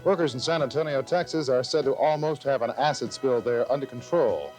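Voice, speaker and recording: Male news anchor, mid-pitched, 1980s local TV newscast read, faint music bed. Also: brisk, a little mellow.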